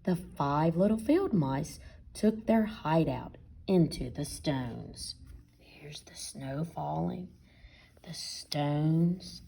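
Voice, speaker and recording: A woman reads a children's picture book aloud like a teacher, stopping to ask and explain. Her pitch swings widely, her pace is unhurried, and her voice is soft-edged and husky. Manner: expressive, pausing to question and explain. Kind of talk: picture-book read-aloud with questions to young listeners.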